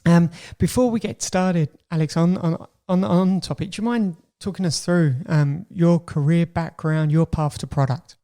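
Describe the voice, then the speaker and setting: A man's voice: fairly high pitch, normal speed, hardly any pauses, mellow. A man hosting a podcast, introducing the episode and talking to his guest in a conversational way. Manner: conversational, with fillers and restarts. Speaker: podcast host.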